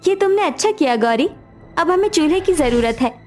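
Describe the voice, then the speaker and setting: A woman's voice: very high-pitched, expressive, a little fast, a little mellow. A woman voicing a poor, devoted, hopeful young daughter-in-law in a cartoon family story. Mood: caring. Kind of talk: cartoon dialogue acting. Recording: music behind the voice.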